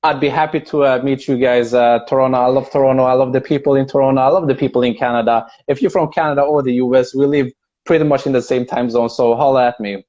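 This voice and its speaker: A man's voice: mid-range voice, fairly even intonation, fast, a little mellow. A man whose first language is Persian, chatting casually as a friendly live stream guest with hesitant phrasing. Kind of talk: casual chat. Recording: dull, muffled video call audio.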